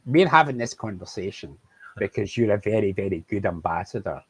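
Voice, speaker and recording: A man who represents a charity, speaking in a measured, thoughtful way in an interview. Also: on the low side, lively intonation, breathy edge, no room sound. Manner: measured, thoughtful, asks questions. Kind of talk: conversational interview.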